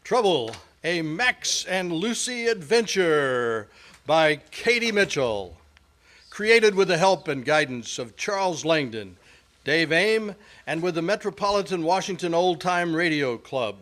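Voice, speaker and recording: Man narrating a radio play, lightly high voice. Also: lively intonation, measured pace, fairly bright tone.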